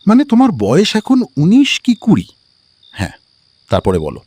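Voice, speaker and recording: A lovestruck young man in a cartoon romance, with a fairly high voice that swings dramatically in pitch. He is tender, bashful and earnest, full of curiosity. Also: wildly swooping pitch, normal speed, a little mellow, slightly rough, full character voice. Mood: curious. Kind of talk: Bengali animated love story dialogue.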